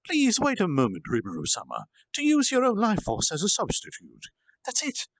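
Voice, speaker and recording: A man's voice: high voice, wildly swooping pitch, moderate pace, no echo, pitched above the performer's own voice, full character voice. A man voicing a devoted demon servant with a friendly, polite and deferential manner in a storybook reading. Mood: devoted, urgent. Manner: deferential, formal, eager to serve.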